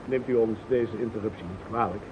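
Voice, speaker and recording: A man acting a patient, courteous police inspector in a radio play, polite, measured and slightly hesitant. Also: fairly low pitch, close to an everyday voice. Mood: polite, weary. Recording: old tinny radio recording with hiss.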